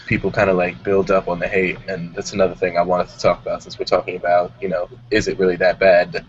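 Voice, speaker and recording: A man's voice: deep voice, completely flat delivery, fast talker. A man hosting a casual panel discussion about music. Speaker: host of a music talk show. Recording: dull audio with background noise.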